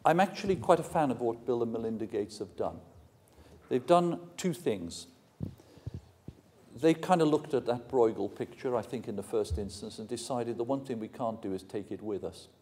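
A man's voice: medium pitch, lively intonation, normal speed, a little mellow, a little hiss or hum. A man in his sixties from Wales, giving a frank, plain-spoken public lecture and answering questions in a large hall. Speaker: university lecturer. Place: large ornate hall, indoors.

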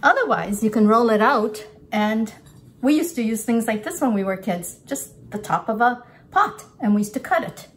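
A woman's voice: fairly high pitch, expressive, moderate pace. An older woman giving a casual, unscripted cooking demonstration, talking through each step as she works. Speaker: cooking instructor. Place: home-style kitchen, indoors at a counter. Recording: clear kitchen clatter and background noise.

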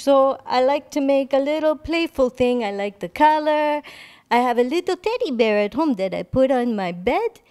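A bubbly painter, sister of a famous master, chatters in a put-on Italian-French accent, as a woman plays her. Her high voice skips through halting, broken English. She stays cheerful and a little mischievous. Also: a little mellow, clear, resonant tone. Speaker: guest artist. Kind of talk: improvised comedy interview.

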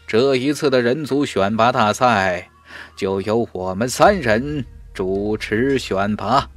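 Man doing a stately old commander, very drawn-out. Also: mid-range voice, faint music behind, close to an everyday voice. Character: authoritative purple-bearded old male temple commander.

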